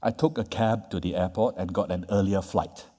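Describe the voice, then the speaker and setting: A man with a fairly low, mellow voice gives a lively conference talk, leaning hard on key words. He keeps checking in with the audience with "right?" and "yeah?". His voice carries the long reverb of a large hall. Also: normal speed. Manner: conversational, tags points with "right?" and "yeah?". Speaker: conference speaker. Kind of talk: lecture.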